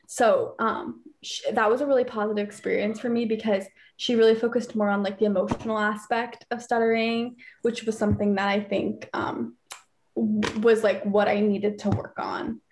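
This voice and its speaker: A woman's voice: medium pitch, flat delivery, moderate pace, darkish tone, a little hiss or hum. A young woman on a video call from her bedroom, speaking with many fillers and occasional stutters. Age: young adult. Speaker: teen advisory council member. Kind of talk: casual panel discussion on video call. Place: bedroom at home, indoors. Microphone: laptop webcam on video call.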